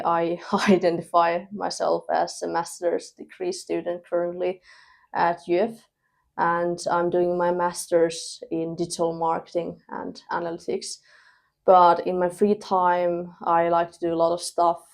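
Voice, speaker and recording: Low-voiced young woman. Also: gravelly. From Finland. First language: Thai. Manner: relaxed, laughs between thoughts, pauses with fillers. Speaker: guest. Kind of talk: casual interview chat.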